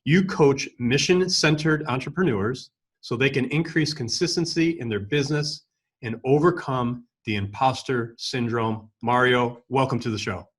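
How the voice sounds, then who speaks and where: A man's voice: medium pitch, normal speed, slightly rough. A man hosting an interview podcast, asking questions politely and responding with brief affirmations. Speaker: podcast host.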